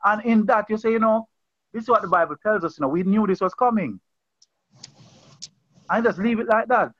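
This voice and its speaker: A man's voice: high-pitched, fairly quick, no echo, dull audio. A man leading a Bible study over a video call, preaching in an earnest, conversational way and often repeating and restarting phrases. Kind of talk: informal sermon on a video call.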